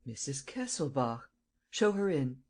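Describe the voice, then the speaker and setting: A composed police chief, as the reader plays him, steady and in command. He asks short, firm questions in an even, measured delivery close to the reader's own voice. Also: mid-range voice.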